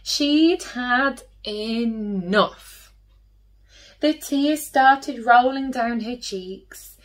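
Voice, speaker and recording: A woman reading aloud expressively to young children as a storyteller, gently putting questions to her listeners. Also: fairly high pitch, drawn-out words.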